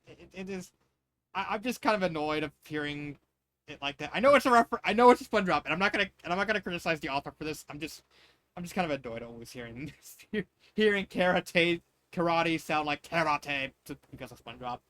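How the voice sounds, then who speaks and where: A man's voice: a little high, animated, fast talker, fairly bright tone. A man reading fan fiction and giving casual, rambling commentary, often repeating and restarting his phrases. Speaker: fan fiction reader and reviewer.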